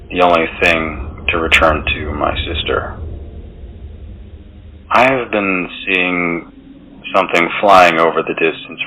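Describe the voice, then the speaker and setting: Man acting very deep, haunted sailor. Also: sharp tone, a little hiss or hum, low-quality recording. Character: weary, fearful young sailor writing his 1712 journal. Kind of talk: dramatic horror-story journal reading.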